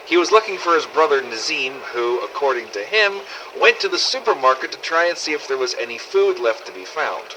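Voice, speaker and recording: A man's voice: on the high side, sharp tone, slight background noise, rough recording. A man playing a wry, weary apocalypse survivor who narrates an audio diary in an exasperated, sweary way. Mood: matter-of-fact, wary. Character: sardonic, weary male survivor runner in a zombie apocalypse. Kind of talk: in-character audio diary narration, fan-made game audio logs.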